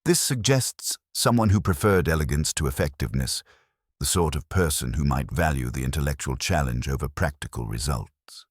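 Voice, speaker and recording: A man's voice: a bass voice, expressive, moderate pace, slightly rough, no echo, clean studio sound, heavily acted. A man voicing a shrewd, understated English police inspector in a mystery audiobook, conversational yet formal. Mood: calm, probing.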